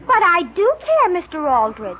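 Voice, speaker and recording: A polite, melodramatic teenage girl with an extremely high voice and very lively swoops. She is self-conscious and fretful, in vintage radio comedy style on a muffled old recording. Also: moderate pace, heavily acted. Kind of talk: 1940s radio sitcom acting. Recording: dull, noisy 1940s radio recording.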